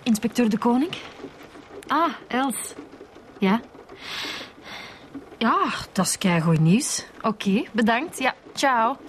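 A woman's voice: on the high side, lively intonation, slow, long pauses, slight background noise. A woman playing a grumbling, no-nonsense police inspector in a radio drama, blunt and colloquial with a Flemish accent. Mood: brisk, informative.

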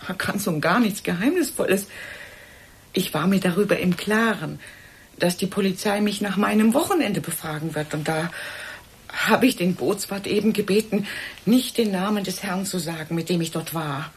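An actress in a German radio play voicing a cornered, defensive woman, indignant and evasive, with a husky voice. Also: mid-range voice, normal speed. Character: cornered, defensive woman hiding her weekend whereabouts.

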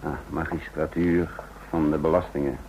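An actor playing a composed, worldly secret service agent in a radio drama, measured and probing in manner. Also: male voice, a bass voice, flat delivery, darkish tone. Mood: assured, recruiting. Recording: muffled, noisy old radio recording.

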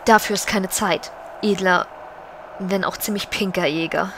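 A woman's voice: mid-range voice, unhurried, a bit bright, natural voice, low-quality recording. Stately undead elf queen played by a woman. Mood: stern, formal. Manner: stately, formal, archaic courtesy. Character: regal undead elf banshee queen, stern, brooding. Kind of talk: German fantasy parody audio drama. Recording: background noise.

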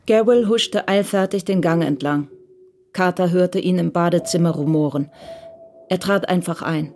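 A woman's voice: on the low side, normal speed, a little mellow, slight background noise. A woman reading scripted literary narration for a German radio crime drama.

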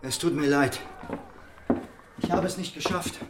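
An actor playing an earnest, loyal religious dissident in a German radio drama, speaking gravely and persuasively. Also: male voice, fairly high pitch, measured pace, noisy background, natural voice. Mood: earnest, consoling.

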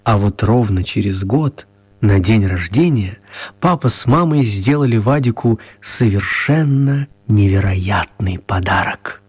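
A man narrating a children's radio story in a measured, expressive way with gentle humour. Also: lowish voice, breathy edge.

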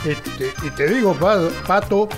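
A man playing a rambling, upbeat president in Argentine political satire, halting and repeating himself, in a radio drama. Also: sits high, over music. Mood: smug cheer. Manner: halting, repetitive, filler-laden speech.

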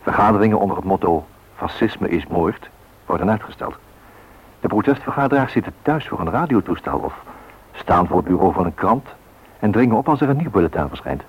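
An actor playing a grave male narrator with a husky voice, commenting on the news in a radio drama. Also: lowish voice, very animated, brisk. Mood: grave, wry. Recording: dull, muffled radio recording.

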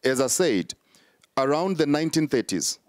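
A man's voice: fairly high pitch, very animated, moderate pace, no room sound, studio quality. A man, a prophet answering interview questions in an emphatic, repetitive preaching cadence with many fillers.

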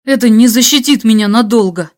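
A woman's voice: on the high side, a little fast, a little mellow, lightly acted. Woman playing an exhausted, tense young male adventurer. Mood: alarmed. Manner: tense, hesitant. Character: exhausted, hunted young male adventurer, anxious but brave. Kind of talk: audiobook character dialogue.

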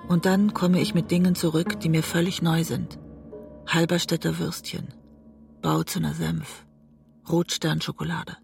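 An actor in a literary radio drama playing a weary, wounded writer, reflective and restrained in narration and dialogue. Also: male voice, a little high, gravelly, a little mellow.

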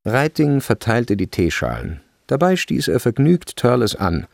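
A man's voice: mid-range voice, sing-song, measured pace, creaky, dry recording, studio quality. A man narrating a literary radio play from a script. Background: faint music.

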